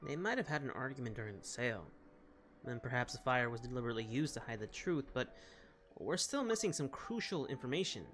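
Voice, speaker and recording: A man's voice: mid-range voice, lively intonation, fairly quick, over music, natural voice. A man playing an earnest, cautious companion in a fantasy video game mystery, with a hesitant, speculating manner. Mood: pondering. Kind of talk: video game character dialogue.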